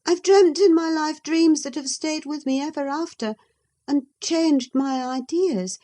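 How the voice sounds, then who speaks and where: A woman's voice: high-pitched, unhurried, warm tone, pitched above the performer's own voice. A woman reading an audiobook, voicing a passionate, willful young woman who speaks with emphatic feeling. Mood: impassioned. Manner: impassioned, emphatic.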